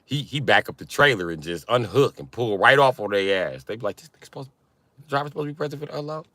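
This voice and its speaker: A man hosting a casual livestream, teasing and swearing as he banters with viewers in an animated, exaggerated way. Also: fast talker, dry recording. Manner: teasing, profane mockery; animated, breaks into high-pitched exaggerated mimicry. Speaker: livestream host chatting with viewers.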